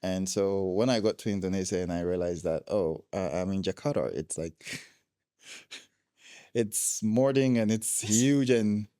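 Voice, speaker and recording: A man's voice: sits low, a little slow, mellow, no echo, clean studio sound. A young man from Ghana, a guest telling his travel stories in a casual interview, laughing often.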